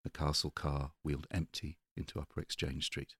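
A man's voice: extremely low pitch, flat delivery, moderate pace, a bit bright, slightly rough, no room sound, clean studio sound. A man reading a novel aloud as an audiobook narrator. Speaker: audiobook reader. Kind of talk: literary narration.

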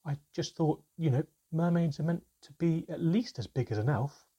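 A man's voice: a little high, normal speed, mellow, slightly rough, muffled recording, voice pushed up. A man voicing a naive young goblin from a storybook, curious and earnest, with an eager, emotional way of speaking. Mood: apologetic.

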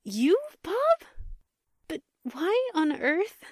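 A woman's voice: very high-pitched, big pitch swings, extremely slow, no room sound, clean studio sound, heavily acted. A woman playing an anxious young society daughter in 1940s radio style, earnest and questioning. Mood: alarmed. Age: young adult. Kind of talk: 1940s radio drama acting.

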